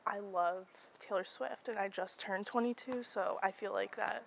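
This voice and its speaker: A woman's voice: mid-range voice, fairly even intonation, moderate pace, vocal fry, a little hiss or hum, low-quality recording. A woman of twenty-two answering a campus interview, hesitant and full of fillers. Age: 20s. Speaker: student interviewee.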